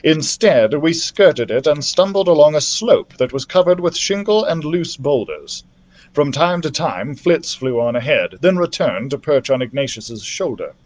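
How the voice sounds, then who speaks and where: A man reading a children's adventure novel aloud as a library storyteller, in an expressive narrative style. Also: a little high, normal speed.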